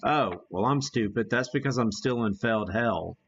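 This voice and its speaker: A man hosting a casual live demo, thinking aloud in a halting, folksy, self-deprecating way. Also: mid-range voice, breathy edge. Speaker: host of a live ham radio demonstration. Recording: dull, muffled lo-fi sound.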